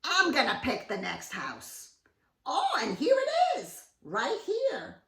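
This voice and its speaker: A woman's voice: sits high, wildly swooping pitch, slow, fairly bright tone, far from the mic, voice pushed up, exaggerated voice. A woman voicing a fussy, bossy female storybook bird who speaks in an emphatic, complaining way. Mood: scolding, then decided, pleased. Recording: roomy, away from the mic.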